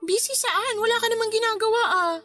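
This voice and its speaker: A woman's voice: very high voice, fast, bright, forceful tone, faint music behind. A woman playing a hurt, stubborn young girlfriend in a radio drama, with a pouty, reproachful manner. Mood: annoyed. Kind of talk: Tagalog radio drama acting.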